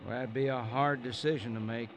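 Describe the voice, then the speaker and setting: An actor playing a laconic, folksy small-town sheriff with a drawling, grudging manner in an old-time radio western. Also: male voice, mid-range voice, steady tone, a little fast, natural voice. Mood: wary, gruff. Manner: drawling, plain-spoken, grudging. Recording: noisy vintage radio recording.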